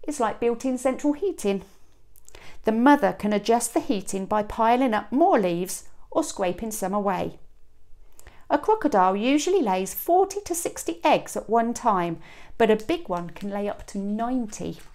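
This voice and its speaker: A woman in her thirties reading a children's picture book aloud like a teacher, with expressive emphasis and dramatic pauses. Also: on the high side. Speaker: teacher reading to a young class. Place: small classroom, indoors. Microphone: clip-on lapel mic.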